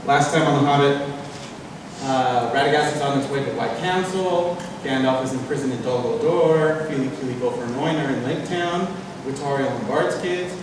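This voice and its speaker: A man's voice: mid-range voice, moderate pace, background noise. A man hosting a convention panel, talking loosely with many fillers. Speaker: panel host. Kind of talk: casual presentation. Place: large convention hall. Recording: echoey hall, distant mic.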